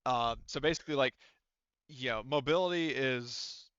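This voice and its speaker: A man's voice: medium pitch, normal speed, fairly bright tone, smooth, dry recording, highs cut off. A man working as a game master, casually explaining tabletop game rules in a conversational way peppered with swearing. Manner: profane, conversational.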